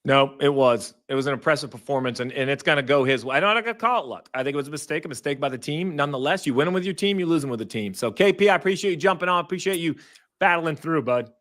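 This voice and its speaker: A man with a hoarse voice hosting a live post-race show, upbeat and conversational. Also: on the high side, lively intonation, racing, fairly bright tone. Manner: upbeat, conversational, reads fan chat aloud. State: hoarse, says he lost his voice. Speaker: show host.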